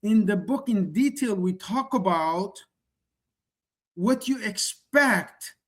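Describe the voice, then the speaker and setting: A man's voice: high voice, expressive, slow. A middle-aged man from the Middle East, not a native English speaker, giving an earnest online presentation with rhetorical questions. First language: Kurdish or Persian. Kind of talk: online presentation, motivational pitch.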